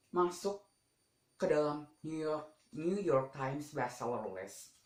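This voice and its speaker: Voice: mid-range voice, a little mellow. A teenage student presenting a school vlog informally and emphatically, often putting questions to the listener. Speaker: student vlogger. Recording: echoey room.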